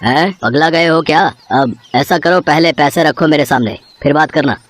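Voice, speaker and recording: A man's voice: on the high side, normal speed, a bit bright, dry recording, close to an everyday voice. A man playing a proud, rude, greedy street-food seller in a cartoon moral story, boastful and dismissive. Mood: suspicious. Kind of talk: acted Hindi moral-story cartoon dialogue.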